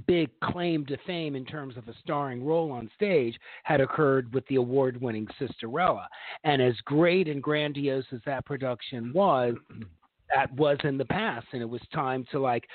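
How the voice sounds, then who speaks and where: A man co-hosting a casual talk show, telling long animated stories about his stage work. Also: mid-range voice, no room sound. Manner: animated, long-winded storytelling. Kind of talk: casual chat. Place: Los Angeles. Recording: tinny phone-like line, dry.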